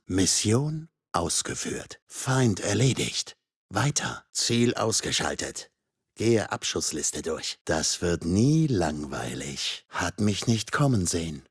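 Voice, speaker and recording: An actor playing a mocking, menacing masked killer in a video game, with a raspy voice and sardonic, foul-mouthed taunts. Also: male voice, low voice, no room sound, studio quality, full character voice. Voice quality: raspy, husky.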